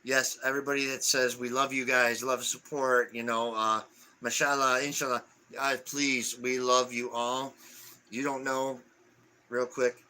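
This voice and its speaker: A man in his forties co-hosting a casual live stream, reading viewer comments aloud in a halting way with lots of fillers. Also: mid-range voice, flat delivery, bright, forceful tone, smooth. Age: 40s.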